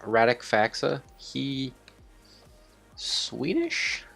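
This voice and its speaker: A man's voice: medium pitch, huge pitch swings, very slow, gravelly, fairly bright tone, faint music behind. A man hosting a video game series, thinking aloud in casual, rambling commentary with some offhand swearing. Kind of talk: casual improvised gameplay commentary.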